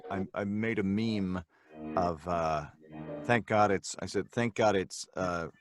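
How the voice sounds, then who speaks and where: A man's voice: deep voice, slow pace, music in the background. A man chatting casually as a panelist, with a joking, self-mocking way of talking. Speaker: voice actor, live-stream panelist. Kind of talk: casual chat.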